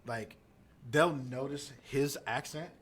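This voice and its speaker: A man in casual chat who jokes and chimes in with quick quips. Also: medium pitch, fairly bright tone, husky.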